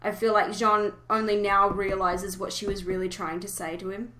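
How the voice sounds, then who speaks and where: A woman hosts a casual fan chat in a bright, forceful voice. She speaks emotively and exclaims readily, often pausing mid-thought before carrying on. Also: medium pitch, flat delivery. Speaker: podcast host. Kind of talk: casual fan discussion of an animated series.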